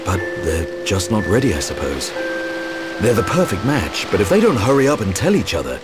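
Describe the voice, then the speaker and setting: A man playing a gruff but caring young soldier and protective older brother in a video game, speaking in a dry, measured way. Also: fairly low pitch, huge pitch swings. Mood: wistful, concerned. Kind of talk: video game cutscene voice acting. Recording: loud music behind the voice.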